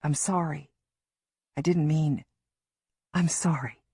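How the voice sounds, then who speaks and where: A woman voicing Lewis, a soft-spoken but firm man who reassures a distraught mother. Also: on the high side, fairly even intonation, slow, husky, no echo, clean studio sound, exaggerated voice. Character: steady male agent, worried family friend.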